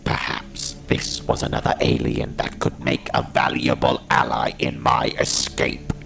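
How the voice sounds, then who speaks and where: Very deep, gravelly robotic alien soldier. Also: male voice, monotone, a little slow, music in the background, full character voice. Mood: cold, defiant. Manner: staccato, menacing, declamatory. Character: alien Dalek war machine, ruthless, defiant, tortured soldier. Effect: robotic voice modulation. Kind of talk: audio drama monologue.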